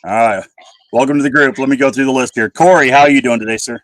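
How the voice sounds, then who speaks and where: A man's voice: medium pitch, steady tone, fast, fairly bright tone, dry recording. A man casually hosting a panel livestream, his voice a little scratchy from a cold.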